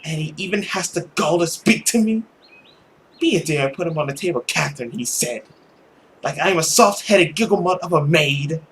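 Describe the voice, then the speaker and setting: A man's voice: a little high, lively intonation, normal speed, fairly bright tone, slight background noise. A man voicing a bitter, haughty girl in a visual novel, with a sardonic, theatrical delivery. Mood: bitter, sarcastic. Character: bitter, haughty, sarcastic girl of a genteel household.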